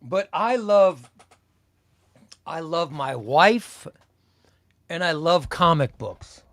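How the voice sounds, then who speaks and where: A man in his fifties chatting on a live comics stream. He talks at an unhurried pace in a slightly high voice, rambling and circling back over his own phrases as he reads comments aloud. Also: expressive, a bit bright. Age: 50s. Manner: rambling, repeats phrases, slow and easygoing. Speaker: comics livestream host. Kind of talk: casual chat reading live comments.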